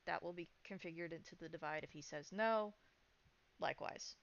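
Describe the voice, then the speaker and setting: Female game master, lowish gravelly voice, conversational storytelling with firm rulings, slightly dull stream sound. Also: a little fast. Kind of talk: narrating and adjudicating a tabletop vampire game.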